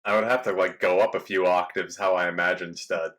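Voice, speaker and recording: A man with a deep voice chatting casually on a podcast and laughing often. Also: fairly even intonation, brisk, fairly bright tone. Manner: laid-back, laughs often.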